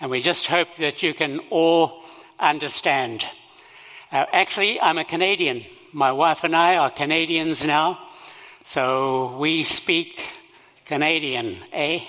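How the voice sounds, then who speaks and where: Slow-speaking older Zimbabwean man. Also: a little high, bright, forceful tone, big-room sound, low-quality recording. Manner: slow, halting, with many fillers. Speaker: missionary speaker. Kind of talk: sermon.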